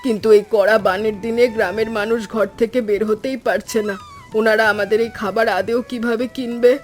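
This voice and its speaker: Man as Sarojini, a high-voiced anxious village wife. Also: steady tone, brisk, faint music behind, lightly acted. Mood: worried. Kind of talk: animated moral story dubbing.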